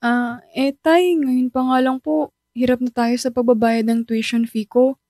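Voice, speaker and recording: A woman's voice: fairly high pitch, steady tone, unhurried, mellow, dry recording, studio quality. A woman voicing a polite, studious teenage child in a radio drama who talks respectfully to a parent.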